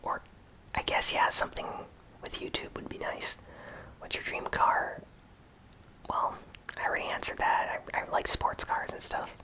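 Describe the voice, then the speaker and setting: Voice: normal speed, long pauses, low-quality recording. Person whispering through a casual fan Q&A. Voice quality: whispered, breathy, unvoiced delivery. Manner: relaxed, rambling, lots of "like" fillers. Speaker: gaming YouTuber answering viewer comments. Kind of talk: casual Q&A chat, reading comments aloud.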